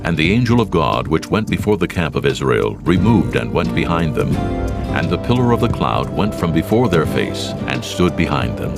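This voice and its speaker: A man narrating scripture solemnly, in a grave, measured, scripted style. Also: extremely low pitch, big pitch swings. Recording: prominent music behind the voice.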